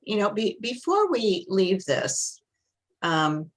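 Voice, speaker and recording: A woman giving a relaxed, chatty webinar presentation over a video call. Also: mid-range voice, slow.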